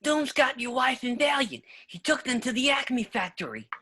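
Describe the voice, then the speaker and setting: Excitable cartoon car, bright piercing tone, rough rasp, wisecracking, exclamatory. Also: male voice, very high voice, moderate pace, exaggerated voice. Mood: urgent. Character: brash, excitable talking cartoon taxi car. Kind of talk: amateur table read of a film script.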